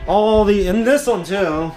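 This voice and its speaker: A man's voice: high voice, a little slow, darkish tone, faint music behind. A young man hosting a casual hobby show-and-tell, rambling informally as he addresses his viewers. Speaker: hobbyist video host.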